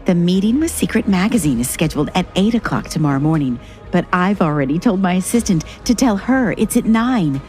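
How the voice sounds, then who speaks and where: A woman's voice: on the low side, expressive, fairly quick, a little mellow, faint music behind, natural voice. An actress playing a domineering, scheming fashion-industry woman who talks in a curt, haughty, commanding way. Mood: cold, scheming.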